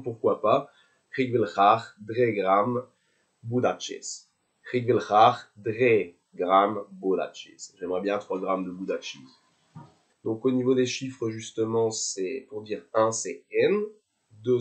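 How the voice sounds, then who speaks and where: A young French man with a French accent and a somewhat low voice gives a beginner's language lesson. He goes very slowly and repeats every phrase with care. His delivery is patient and deliberate. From France. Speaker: language tutor.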